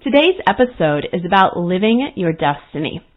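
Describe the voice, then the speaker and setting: A woman's voice: lowish voice, big pitch swings, moderate pace, soft background music. A woman hosting her own podcast, talking earnestly and reflectively about life paths and now and then acting out the thoughts of her clients. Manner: earnest, reflective, with quoted inner voices. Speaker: podcast host and coach. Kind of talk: solo podcast monologue. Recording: phone-like narrow sound.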